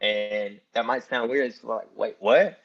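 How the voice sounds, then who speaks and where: Guest: young American man, low voice, earnest and reflective, lo-fi recording. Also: unhurried, gravelly. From Ukraine. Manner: earnest, reflective, many fillers. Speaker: podcast guest. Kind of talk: casual interview talk. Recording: dull lo-fi sound.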